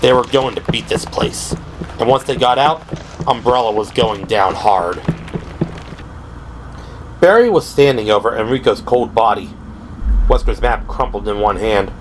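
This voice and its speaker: A man's voice: on the low side, unhurried, long pauses. A man reading dramatic scripted narration for a horror audio drama. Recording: clearly noisy background. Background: ambient sound effects.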